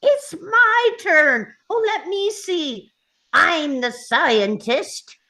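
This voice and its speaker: Lady doing a little old gnome professor guy, fussy n full of himself, high voice all over the place, slow delivery. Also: very animated, a bit bright, heavily acted. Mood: excited, impatient. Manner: impatient, self-important, fussy. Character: tiny, excitable, vain old male gnome scientist. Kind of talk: animated table-read acting.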